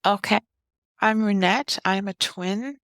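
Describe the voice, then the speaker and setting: A woman's voice: medium pitch, takes it slowly, a bit bright, no echo, clean studio sound. A woman in her fifties from Guyana, earnestly telling her life story as an interview guest in short, heartfelt phrases. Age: 50s.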